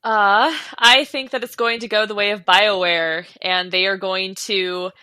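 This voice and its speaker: A woman co-hosting a gaming podcast, playful and quick to laugh in casual comedic chat. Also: medium pitch, steady tone, sharp tone, clear, resonant tone, no room sound. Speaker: podcast co-host.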